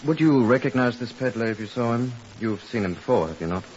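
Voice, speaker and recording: An actor playing a dry, sceptical police superintendent in a 1950s radio drama, with a clipped, matter-of-fact manner. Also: male voice, mid-range voice, lively intonation, fairly quick, a little mellow, natural voice. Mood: brisk questioning. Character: dry, sceptical male Scotland Yard superintendent. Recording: muffled hissy old radio recording.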